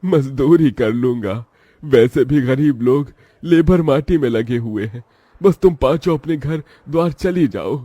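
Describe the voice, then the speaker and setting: A worn village father in a cartoon story, voiced by a man. He speaks earnestly and pleads, his voice mellow and slightly husky. The recording is dry and close. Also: medium pitch, measured pace, dry recording, natural voice. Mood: resolute. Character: poor, anxious, devoted middle-aged village father. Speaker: father of five daughters. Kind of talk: dubbed cartoon drama.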